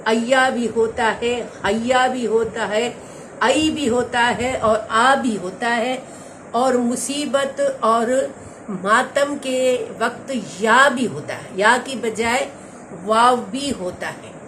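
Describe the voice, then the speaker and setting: A woman's voice: on the high side, takes it slowly, a bit bright, a little hiss or hum, poor audio. A woman giving a lecture on grammar, patiently explaining each word and repeating key terms. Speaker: teacher. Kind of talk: slow Quranic grammar lecture.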